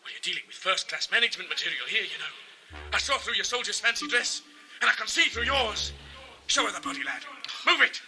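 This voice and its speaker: A man's voice: sits high, fairly quick, sharp tone, heavily acted, poor audio. A man playing a deluded, paranoid corporate manager in an audio drama, pompously barking orders like a military commander. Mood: menacing. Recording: music behind, 1980s amateur audio drama.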